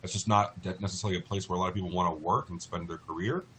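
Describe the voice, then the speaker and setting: Low-voiced American man, explaining over background noise. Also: steady tone, racing, fairly bright tone. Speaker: interview guest, lawyer in a class action. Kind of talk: explanatory interview talk.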